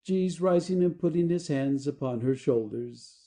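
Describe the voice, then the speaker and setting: A woman's voice: sits low, normal speed, warm tone. A woman giving scripted narration, reading out the stage directions of an audio play.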